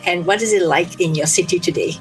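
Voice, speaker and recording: A woman with a low-pitched voice hosts an interview show. She presents and puts questions to her guest over a remote call. There is some faint music in the recording. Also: fast talker, poor audio. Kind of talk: scripted introduction and conversational interviewing. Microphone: remote video call.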